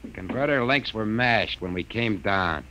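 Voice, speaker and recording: An actor playing a surly, sarcastic engine-room mechanic in old-time radio science fiction, talking back belligerently in rough working-class grammar. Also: male voice, on the low side, animated, moderate pace, pushed and bright, low-quality recording. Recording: hissy old radio recording.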